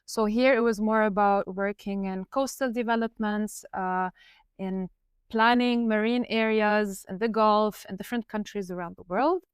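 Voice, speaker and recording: A woman in her thirties, a researcher from Lebanon, explaining her career in a measured way on a podcast. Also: mid-range voice, fairly even intonation, clear, resonant tone, no room sound, clean studio sound. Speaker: guest researcher. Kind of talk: podcast interview.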